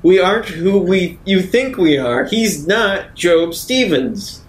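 A man's voice: lively intonation, takes it slowly. A man playing in a tabletop game, casually improvising his character's dialogue. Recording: echoey room with faint noise and rumble.

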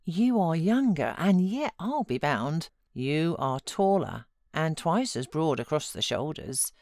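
A woman's voice: low-pitched, sing-song, a little mellow, no echo, clean studio sound, exaggerated voice. A British woman voicing a motherly housekeeper who coaxes and scolds frankly in a storybook reading. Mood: coaxing. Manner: brisk advice, coaxing and chiding.

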